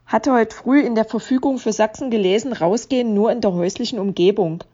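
A woman's voice: fairly high pitch, fairly quick, mellow, lightly acted. An actress voicing an easygoing, resigned female social media commenter in a radio play collage. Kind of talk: radio play collage reading of online posts. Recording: dull, muffled sound.

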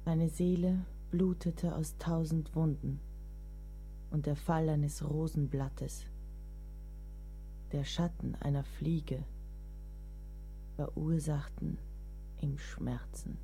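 A woman's voice: sits low, fairly even intonation, takes it slowly, pauses often, mellow. A woman acting a devoted, burdened writer caring for her ailing lover in a German radio play, grave and literary in delivery. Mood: grave, reflective. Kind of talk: German audio drama acting, literary monologue. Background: music.

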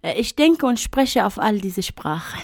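A woman's voice: mid-range voice, lively intonation, a little slow, gravelly, darkish tone, no echo, clean studio sound. A woman whose first language is Arabic, speaking German in a radio interview and recounting her own life thoughtfully with some hesitations and fillers. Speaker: interview guest. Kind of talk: radio interview, personal account.

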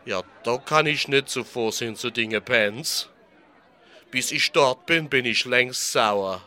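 Man as a naive milk bottle, bright Rhineland voice. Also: medium pitch, slow, a faint crowd. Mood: naive, curious. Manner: simple, folksy, questioning. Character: naive, plaintive little milk bottle from the Eifel. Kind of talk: German political radio play.

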